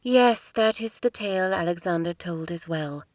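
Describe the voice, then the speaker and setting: A woman voicing a proud young princess who speaks in a crisp, formal way. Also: on the low side, normal speed, a little mellow, no echo, lightly acted. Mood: reserved.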